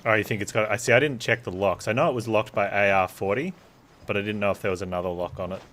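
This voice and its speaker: Low-voiced man streaming a game. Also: fairly even intonation, fast talker, fairly bright tone, a little hiss or hum. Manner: musing, thinking aloud. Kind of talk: casual live gameplay commentary, chatting with viewers.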